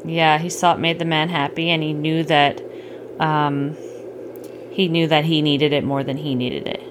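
A middle-aged woman chatting casually with a child at bedtime, gently explaining things and checking in with questions. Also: deep voice, steady tone, moderate pace. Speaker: parent hosting a bedtime story podcast. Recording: clearly noisy home recording.

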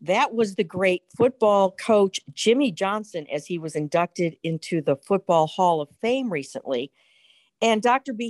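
A mature woman speaks as a talk show host in a conversational, explanatory way. Her pitch moves freely as she stresses her points, and the sound is dry and close. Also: no echo. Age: middle-aged or older. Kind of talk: conversational interview.

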